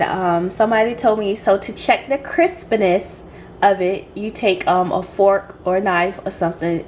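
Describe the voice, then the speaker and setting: A woman hosting a casual home cooking vlog, her voice stuffy and congested, chatting in an unhurried way with lots of fillers. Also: medium pitch, slight background noise. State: stuffy, congested voice after a sore throat. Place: home kitchen.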